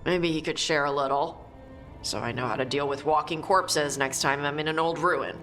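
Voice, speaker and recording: An actress playing a blunt, enthusiastic young adventurer in a fantasy video game. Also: female voice, deep voice, moderate pace, a bit bright, soft background music, low-quality recording. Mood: blunt, incredulous.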